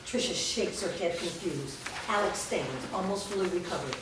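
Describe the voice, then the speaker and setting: A woman's voice: on the low side, normal speed. A woman narrator reading scripted stage directions at a staged reading. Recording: echoey room, distant mic, clear background noise.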